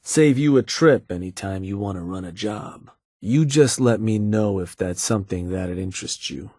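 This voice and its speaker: A man voicing a gruff raider fixer from a game, speaking in a low, husky voice with a relaxed, businesslike drawl. The recording is dry and close. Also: moderate pace, dry recording, natural voice. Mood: laid-back. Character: gruff middle-aged male raider fixer, calm schemer. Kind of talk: game voice lines.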